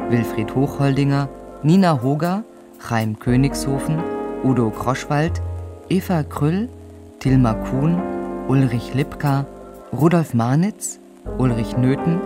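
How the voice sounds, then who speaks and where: A man reading scripted announcements as the announcer of a German radio drama. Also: medium pitch.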